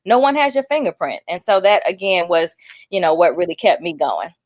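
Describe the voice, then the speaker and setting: A woman's voice: on the low side, expressive, fairly quick. A middle-aged woman author speaking in a casual panel talk, encouraging and laughing often, repeating phrases for emphasis. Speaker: author guest.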